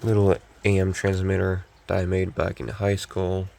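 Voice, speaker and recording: A young man with a scratchy, gravelly voice from a recent illness, giving a casual, unhurried, off-the-cuff show-and-tell as a hobbyist. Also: deep voice, completely flat delivery, a little mellow. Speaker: hobbyist collector showing restored radio.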